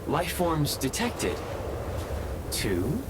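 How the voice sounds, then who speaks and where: A man voicing a polite, analytical robot companion in a video game, speaking in formal, precise, measured reports. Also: fairly high pitch, wildly swooping pitch, heavy background noise, exaggerated voice. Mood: analytical, neutral. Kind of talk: scripted video game voice acting.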